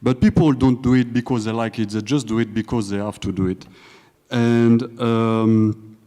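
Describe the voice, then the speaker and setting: A man's voice: mid-range voice, steady tone, moderate pace, dark tone. A young man with a French accent giving a conference talk, joking with hesitant fillers and restarts. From France. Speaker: conference speaker. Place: large conference hall. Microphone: handheld or stage microphone. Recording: hall reverb from a conference room.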